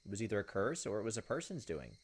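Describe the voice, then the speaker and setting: A man hosts a visual novel playthrough in a fairly low voice. He talks quickly and casually, switching between reading the text aloud and commenting on it. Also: sing-song, slightly rough. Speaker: gaming video host. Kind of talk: let's play commentary mixed with reading game text aloud.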